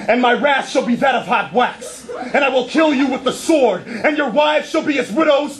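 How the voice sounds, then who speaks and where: A man performing spoken-word poetry live on stage as a mock political address, declamatory, impassioned and emphatic. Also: high-pitched. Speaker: slam poet. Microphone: stage microphone.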